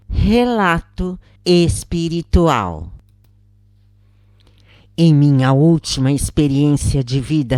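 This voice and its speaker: A Brazilian woman reading a scripted first-person spiritual account as a narrator, in a measured, carefully phrased way. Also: deep voice, dark tone, dry recording, studio quality. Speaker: narrator and channel host.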